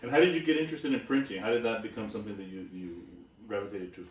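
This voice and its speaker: A man's voice: fairly low pitch, steady tone, roomy sound, distant mic, rough recording. A man interviewing a guest, eager and repetitive in his questions. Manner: quick, eager, repeats himself when asking. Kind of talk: casual interview.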